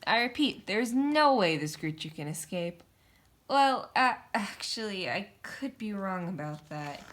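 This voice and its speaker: A woman's voice: medium pitch, lively intonation, unhurried, fairly bright tone, slight background noise. A woman voicing a greedy, pompous male mouse doctor from a storybook, proclaiming like a showman. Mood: showy, announcing.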